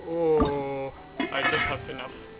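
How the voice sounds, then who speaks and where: A woman chats casually as she plays a video game. Her voice sits low. Loud game music runs behind her commentary. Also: steady tone, slow. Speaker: gamer commentating on own playthrough. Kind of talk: casual let's play commentary.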